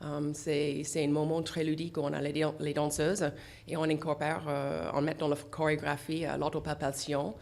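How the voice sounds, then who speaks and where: A middle-aged woman with an English accent speaks French as her second language. Her voice sits very low, and her phrasing is halting, full of "euh" pauses and restarts. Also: little pitch movement, gravelly, a little mellow. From English-speaking country. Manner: halting, many fillers and restarts. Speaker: association founder, guest. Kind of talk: radio interview. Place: radio studio.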